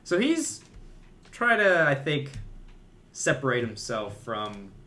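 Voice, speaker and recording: A man's voice: medium pitch, big pitch swings, slow pace, pushed and bright, a little hiss or hum. A man co-hosting a podcast, chatting casually about movies in an opinionated, conversational way. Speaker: podcast co-host.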